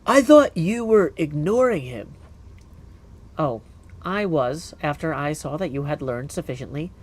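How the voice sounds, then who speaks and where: A man voicing a stern, composed older witch, a female mentor in a video game, in measured, formal, old-fashioned phrasing. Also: fairly high pitch, expressive, a little mellow, a little hiss or hum, pitched below the performer's own voice. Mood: measured, instructive. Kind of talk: video game character acting.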